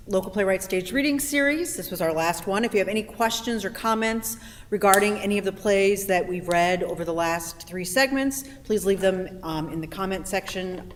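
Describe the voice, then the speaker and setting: A woman hosting a play reading series, giving a closing announcement to online viewers in an echoey hall with faint noise. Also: mid-range voice.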